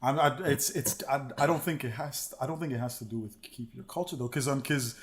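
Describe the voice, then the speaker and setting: A man's voice: mid-range voice, brisk. A man co-hosting a casual podcast chat, rambling and repeating words as he thinks aloud. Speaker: podcast co-host.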